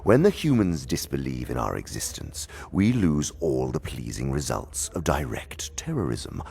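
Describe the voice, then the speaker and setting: An elderly demon schemer for an audio drama. His voice sits extremely deep and crackles with gravel. He swings widely in pitch as he lectures, pompous and condescending, relishing each phrase. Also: sing-song, normal speed, darkish tone, exaggerated voice. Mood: lecturing, scheming. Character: senior demon uncle, cunning, condescending, theatrical schemer. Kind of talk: theatrical audio drama dialogue.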